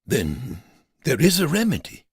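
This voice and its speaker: Comic audio story: desperate, self-important lord, played by a man, husky and gravelly, hugely melodramatic swings. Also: medium pitch, huge pitch swings, measured pace, no room sound, pitched below the performer's own voice, exaggerated voice. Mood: anxious disbelief. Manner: melodramatic, indignant, exclamatory. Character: pompous, desperate, afflicted male aristocrat.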